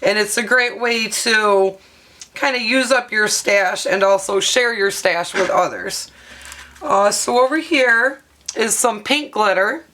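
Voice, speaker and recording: A woman with a hoarse, half-lost voice, chatting through a craft tutorial at her table and showing items with plenty of ums and uhs. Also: mid-range voice, takes it slowly, pushed and bright. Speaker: craft vlogger. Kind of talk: casual show-and-tell craft tutorial.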